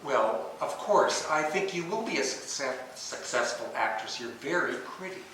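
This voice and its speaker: A man's voice: medium pitch, expressive, moderate pace, a bit bright. A man playing an awkward, nervous older tax attorney, hesitant and polite, in a stage play rehearsal. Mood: nervous, flustered. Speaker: amateur stage actor in rehearsal. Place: echoey indoor rehearsal room. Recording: very echoey room, distant mic, faint noise.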